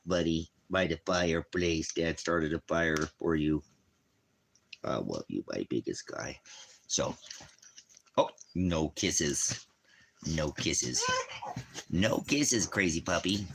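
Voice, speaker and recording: Deep-voiced man teaching on a livestream. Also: expressive, takes it slowly, creaky, pauses often, fairly bright tone, slight background noise. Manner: blunt, challenging, asks and answers his own questions. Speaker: ministry teacher and livestream host. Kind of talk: rambling livestream Bible teaching and chat with commenters. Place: indoors at home by a fireplace.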